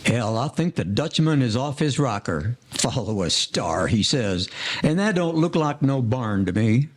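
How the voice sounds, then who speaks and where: A man playing a blunt but soft-hearted gangster sidekick in a 1940s audio play, grumbling in slang. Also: mid-range voice, very animated, unhurried, background noise. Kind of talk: audio play character acting.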